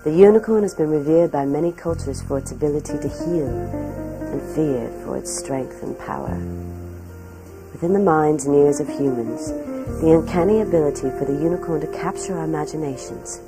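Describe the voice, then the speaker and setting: A woman narrating a documentary from a script in a measured way, often posing questions. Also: low-pitched, over music.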